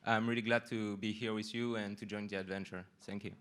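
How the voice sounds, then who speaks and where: A man's voice: on the low side, flat delivery, a little fast, very noisy. A man, an intern politely introducing himself. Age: 20s.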